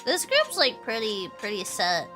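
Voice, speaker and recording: A woman's voice: a little high, wildly swooping pitch, unhurried. A young woman streaming a game, chatting casually and rambling with lots of fillers, her nose stuffy from allergies. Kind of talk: casual gameplay stream chat. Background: game music.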